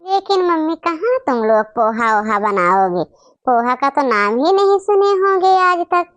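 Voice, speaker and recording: A woman's voice: high voice, very animated, unhurried, dry recording. A woman voicing a boastful, snobbish young married daughter in a family comedy cartoon, bragging and complaining as she talks. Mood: boastful, disdainful.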